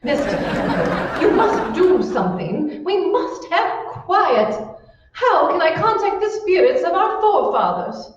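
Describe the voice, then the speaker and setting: A woman's voice: very high voice, very animated, a little slow, crowd noise, background noise, exaggerated voice. A woman playing a gushing, bossy society hostess in old-time radio style, theatrical and grandly over-enunciated. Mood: bossy, impatient. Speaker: amateur stage actor. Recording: echoey room, distant mic, dull sound.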